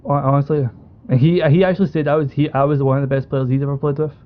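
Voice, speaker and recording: Higher-pitched young British man, muffled call. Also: steady tone, quick pace. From United Kingdom. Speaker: esports organisation co-owner. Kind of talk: casual storytelling chat. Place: online group call. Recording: dull lo-fi voice call.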